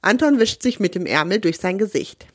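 A woman host of a children's podcast, hoarse with a cold, reading a story aloud in a friendly, expressive manner. Her voice rises and falls a lot, and it sounds close and dry on the mic. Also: medium pitch, brisk, no room sound.

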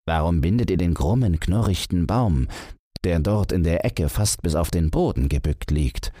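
A man's voice: deep voice, normal speed, mellow, breathy edge, no echo, studio quality. A man putting on a proud young master thief. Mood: probing. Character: clever grown son, a proud master thief.

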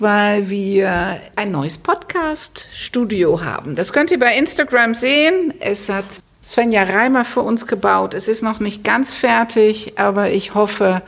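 A woman hosts her interview podcast in a new studio with a little reverb. She talks in a chatty, enthusiastic way, with a gravelly edge and a middle pitch. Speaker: podcast host. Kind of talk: casual interview hosting.

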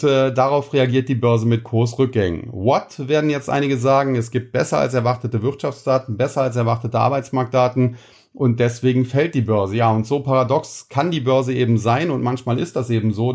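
A man's voice: medium pitch, darkish tone. A man with a cold, hosting a podcast and explaining stock market news casually, with frequent filler sounds. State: has a cold. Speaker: podcast host.

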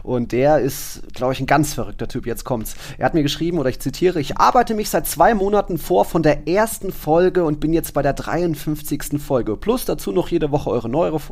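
A man's voice: a little high, normal speed, few pauses, darkish tone. A man co-hosting a casual football podcast, speaking colloquially in loose, run-on phrases. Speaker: podcast co-host.

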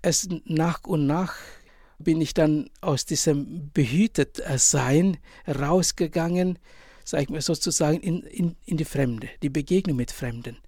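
A man in his forties from Indonesia, a priest and author, answering interview questions in German with an Indonesian accent, pausing often and searching for words. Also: on the high side, darkish tone, no room sound, clean studio sound. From Indonesia, island of Sumba. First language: Indonesian language. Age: 40s. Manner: halting, many pauses and fillers, searching for words.

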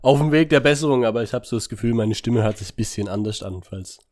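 A man's voice: mid-range voice, a little mellow. A man with a cold, joking around as co-host of a casual podcast. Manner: joking, quick asides. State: has a cold.

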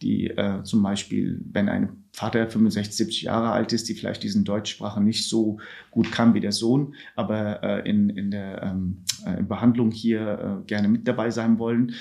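Halting middle-aged man, lower voice. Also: level tone, creaky, a little mellow. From Germany. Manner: hesitant, many filler sounds, halting restarts. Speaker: ward manager on an addiction ward. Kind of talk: podcast interview answers.

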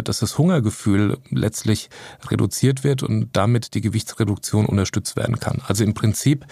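Male expert guest with a lower voice, explaining at length in a dry, close recording, with fillers and self-corrections. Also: mellow, no room sound. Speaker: nutrition scientist guest. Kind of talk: explanatory podcast conversation.

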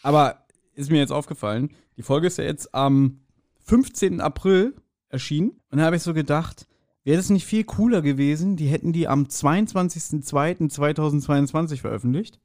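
Higher-voiced man in joking podcast chat. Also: moderate pace. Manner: joking, teasing, animated storytelling. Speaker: podcast co-host.

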